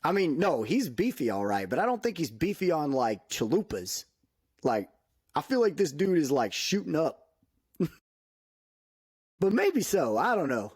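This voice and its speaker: A man's voice: fairly high pitch, sing-song, measured pace, long pauses, a little mellow, slightly rough, no echo, studio quality. A man in his thirties chatting casually as a podcast co-host, upbeat and full of fillers. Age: 30s.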